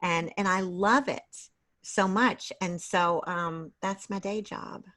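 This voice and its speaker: A middle-aged woman with a slightly low-pitched voice talks casually as a guest in an interview. Her delivery is halting and hesitant, with phrases that break off and start again. She is speaking over a video call. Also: fairly even intonation, fairly bright tone. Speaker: guest author and creative writing teacher.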